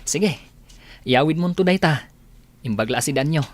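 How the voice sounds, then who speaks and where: A man's voice: a little high, expressive, normal speed, close to an everyday voice. A man playing a friendly, lovelorn village bachelor farmer in a radio comedy drama. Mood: cheerful, generous.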